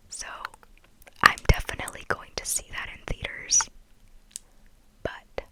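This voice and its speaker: A young woman from Texas chatting casually in a soft whisper for ASMR, full of "like" fillers. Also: measured pace. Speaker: ASMR creator.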